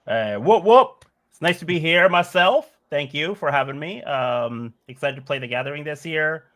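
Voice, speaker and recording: A man's voice: fairly high pitch, lively intonation, normal speed, a bit bright. A man in his forties from Bangladesh, a musician answering interview questions in a thoughtful, meandering way with frequent "like" and "uh". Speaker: musician guest.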